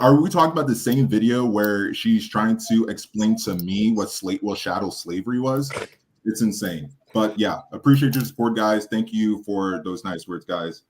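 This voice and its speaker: A man with a deep voice chatting on a live stream about a debate he took part in. He speaks haltingly, with many restarts and fillers, and sounds earnest. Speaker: debate participant reacting to his own debate. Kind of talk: casual live-stream chat.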